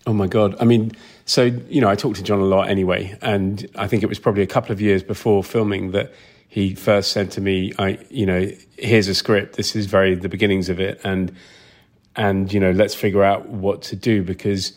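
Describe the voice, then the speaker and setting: A man with a fairly low voice speaks as an interview guest explaining his craft. His delivery is casual and reflective, a little halting as he thinks aloud and rewords his sentences. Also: flat delivery, darkish tone. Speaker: film sound designer, interview guest.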